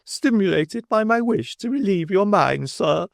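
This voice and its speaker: A man reading aloud and voicing a pompous, proper middle-aged woman who is triumphant and officious, in a high, overbearing voice. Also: expressive, measured pace, gravelly, no echo, clean studio sound, heavily acted. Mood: formal, self-satisfied. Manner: shrill, high, stately and overbearing.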